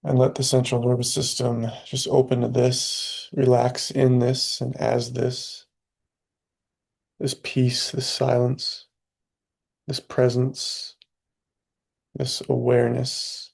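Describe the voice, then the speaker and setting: A man leading a guided meditation, speaking calmly in short phrases with long pauses between them. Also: mid-range voice, vocal fry, breathy edge. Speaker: meditation teacher.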